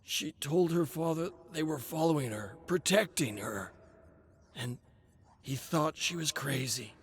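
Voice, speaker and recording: A man acting out an anguished, high-strained confessing ex-agent. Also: animated, gravelly. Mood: regretful. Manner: halting, stammering, emotional. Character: anguished, guilt-ridden man, drugged ex-agent confessing in dread. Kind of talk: dramatic audiobook character acting.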